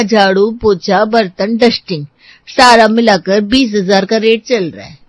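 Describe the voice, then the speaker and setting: Here is a shrewd older housemaid played by a woman from a storybook drama. She talks in a homely, colloquial servant's manner, coaxing and stubborn at once. The voice sits at an ordinary pitch and is recorded close and dry. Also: dry recording, natural voice. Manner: colloquial, rustic servant's way of talking. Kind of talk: scripted audio story dialogue.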